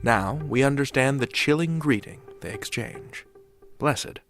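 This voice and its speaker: A man's voice: mid-range voice, lively intonation, measured pace, a bit bright, faint music behind. A man hosting a podcast, giving a scripted, dramatic introduction. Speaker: podcast host.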